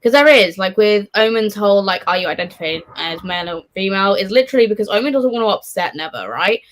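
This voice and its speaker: A woman's voice: medium pitch, animated, fast. A woman in a casual book discussion, speaking informally and reflectively with plenty of swearing. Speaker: participant in a live book readalong discussion. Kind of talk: casual chat about books.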